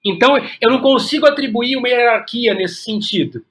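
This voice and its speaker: A man's voice: very high-pitched, expressive, fairly quick, fairly bright tone. A man with a Brazilian accent talking reflectively as an interview guest, repeating words as he thinks aloud. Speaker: guest playwright.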